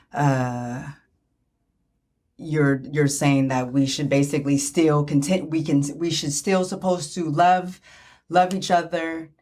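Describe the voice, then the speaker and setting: A middle-aged woman co-hosting in casual conversation, halting and repeating her words for emphasis. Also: low voice, a little mellow. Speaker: podcast co-host asking questions.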